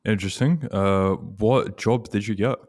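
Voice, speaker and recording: A man's voice: on the low side, a little slow, darkish tone. A man hosting a casual interview, asking questions in a conversational way.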